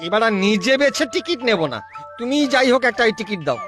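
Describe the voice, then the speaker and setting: A man's voice: sits high, expressive, quick pace, fairly bright tone, soft background music. A man playing a gullible, boastful lottery dreamer with a naive, exclaiming manner in a comedy cartoon. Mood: weary. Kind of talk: exaggerated comedy cartoon voice acting.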